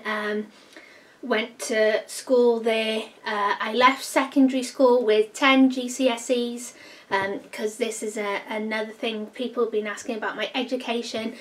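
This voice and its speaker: A woman talks in a relaxed, rambling way and fills pauses with ums. Her voice sits fairly high. The mic is set a little way off. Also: distant mic. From North Wales. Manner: chatty, rambling, many ums. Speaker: vlogger. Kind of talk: casual personal Q&A vlog.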